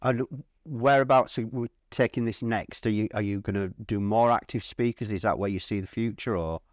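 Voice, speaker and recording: A man's voice: lowish voice, normal speed, no room sound, studio quality. A man interviewing in a casual, informal chat, repeating words as he frames his thoughts and questions. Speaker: interviewer for a hi-fi channel. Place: at a hi-fi trade show.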